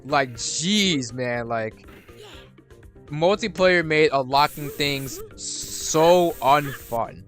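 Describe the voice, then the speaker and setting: A man's voice: fairly high pitch, lively intonation, extremely slow, fairly bright tone, soft background music. A young man giving rambling, casual commentary while playing a video game, restarting his sentences and swearing freely. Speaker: gaming streamer.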